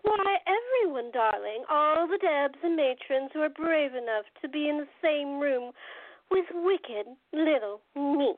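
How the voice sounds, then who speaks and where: A woman's voice: high-pitched, animated, measured pace, no echo, narrow, tinny audio. A woman playing a rich, reckless forty-year-old film diva in a 1920s radio play, gushing, coquettish and full of drawled endearments. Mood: gushing, flirty.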